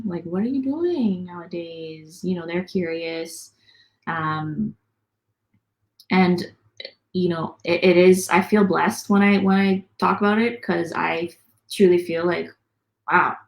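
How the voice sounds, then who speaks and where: Chatty woman, slightly low voice. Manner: upbeat, chatty, many fillers. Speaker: interview guest. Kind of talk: casual video call interview.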